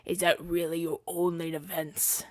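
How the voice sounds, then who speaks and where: A man's voice: on the high side, flat delivery, unhurried, a little mellow, no room sound, lightly acted. An earnest rebel hero from a fantasy audio drama, acted by a man, argues his side in a natural, stumbling way. He sounds defensive and exasperated, speaking close to the mic in a very dry space. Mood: frustrated, pleading. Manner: conversational, stammering, exasperated arguing. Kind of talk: ASMR-style audio roleplay acting.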